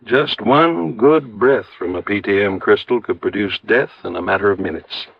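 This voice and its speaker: A man's voice: fairly low pitch, normal speed, gravelly, slightly rough, dry recording. A man playing an earnest, precise doctor who turns medical sleuth in a 1950s radio drama. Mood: serious. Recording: dry, dull old radio recording.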